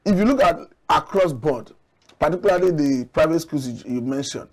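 A middle-aged man from Nigeria, a studio guest analyst, making measured, argumentative points with occasional restarts, on a lapel mic. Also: on the high side, lively intonation. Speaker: public affairs analyst guest. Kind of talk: studio TV discussion. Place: TV studio set.

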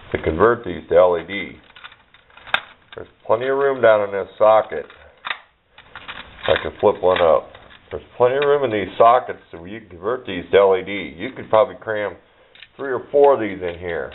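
A man's voice: deep voice, lively intonation, normal speed, pauses often. A middle-aged man giving a casual, unscripted show-and-tell, rambling along in a plainspoken way. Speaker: hobbyist showing his radio parts collection. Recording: muffled narrowband camera audio, noisy, clicks.

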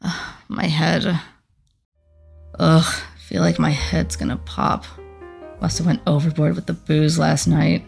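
A woman's voice: sits low, little pitch movement, takes it slowly, creaky, darkish tone, full character voice. A woman voicing a sassy, vain succubus popstar in a cartoon comic dub, with a sultry, sardonic drawl. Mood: groggy, musing. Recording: music behind the voice.

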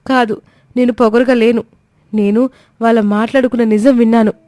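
Woman acting a pleading, wronged young daughter-in-law, raised pitch. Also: fairly even intonation, brisk, mellow, no room sound, studio quality, natural voice. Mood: desperate pleading. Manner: pleading, hurt, earnest. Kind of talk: dramatised moral-story cartoon dialogue.

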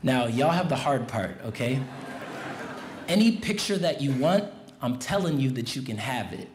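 A man in his thirties delivers a commencement address into a podium microphone. His tone is relaxed and conversational, and he draws the crowd in. The sound is roomy, with faint background noise from the tent. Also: medium pitch, moderate pace. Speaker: commencement guest speaker. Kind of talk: commencement speech. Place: large tent at an outdoor graduation. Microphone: podium mic on a stand.

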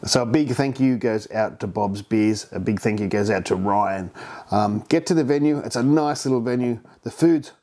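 A man's voice: medium pitch, darkish tone. A man in his fifties with an Australian accent, hosting a home brewing vlog from his home bar in a chatty, rambling way full of ums and restarts. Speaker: home brewing channel host. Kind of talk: casual beer review vlog. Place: home bar room, indoors.

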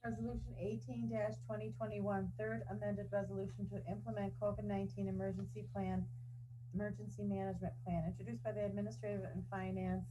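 A woman clerk reading formal resolutions aloud in a procedural way. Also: mid-range voice. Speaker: county clerk. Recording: dull lo-fi meeting recording, loud hum.

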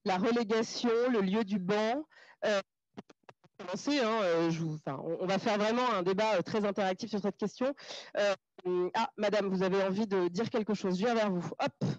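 A woman hosting an interactive live debate as moderator, speaking informally and quick to interject. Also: mid-range voice, no room sound. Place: small crowded café room. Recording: dull, lo-fi event recording.

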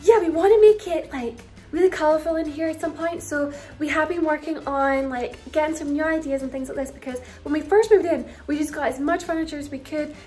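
A woman's voice: high voice, a little fast, hardly any pauses, a little mellow. Bubbly giggly young woman vlogging. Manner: giggly, bubbly, lots of "like" and "you guys". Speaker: vlogger giving a house tour. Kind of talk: casual chatty vlog. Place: bedroom of a house, indoors. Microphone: phone held at arm's length.

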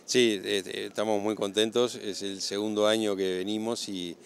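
Deep-voiced breeder, halting. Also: male voice, flat delivery, gravelly, clear, resonant tone. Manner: halting, many fillers and repeated words. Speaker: cattle breeder. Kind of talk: interview answers. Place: outdoor livestock show grounds. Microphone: handheld interviewer mic.

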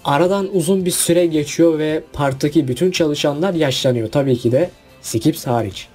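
A man talks through a countdown in a casual, chatty voice-over. His voice sits a little high but sounds mellow and husky. Faint music plays underneath. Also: normal speed. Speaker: video narrator. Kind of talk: list video voice-over.

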